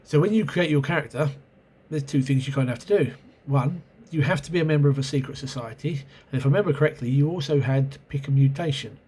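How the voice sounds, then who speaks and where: A man's voice: fairly high pitch, fast, few pauses, darkish tone, husky. Congested man rambling through a game review. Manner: rambling, hesitant, many ums and self-corrections. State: congested with a cold and sore throat. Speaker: channel host reviewing role-playing games. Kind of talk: casual spoken video review. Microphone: camera mic.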